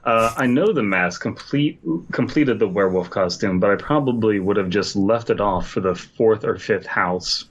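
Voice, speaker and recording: A man's voice: lowish voice, normal speed, dull audio. A man co-hosting a podcast in casual chat, riffing in a crude, mock-serious way. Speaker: podcast co-host. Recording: faint background noise.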